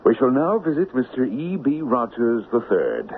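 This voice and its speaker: An actor playing the Devil as a mocking, smooth-talking gentleman schemer in a 1970s radio drama. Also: medium pitch, huge pitch swings, moderate pace, faint music behind. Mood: sly, persuasive. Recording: muffled, hissy old radio broadcast recording.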